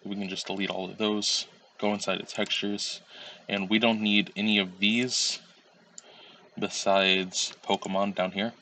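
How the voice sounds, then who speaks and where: A man with a low, fairly monotone voice, stuffy and slightly nasal from a lingering cold. He talks through a computer tutorial at an easy pace, filling pauses with ums and uhs, over a faint hiss. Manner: relaxed, step-by-step, with frequent um and uh. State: recovering from a cold, a little nasal. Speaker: tutorial creator. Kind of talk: screen-recorded software tutorial.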